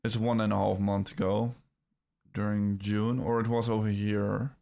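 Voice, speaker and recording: Young Dutch-accented male streamer, low-pitched and gravelly, rambling casual chat, slightly under the weather. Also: level tone, mellow. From Netherlands. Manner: rambling, halting, with frequent fillers and restarts. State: feeling a little ill. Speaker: crypto livestream host.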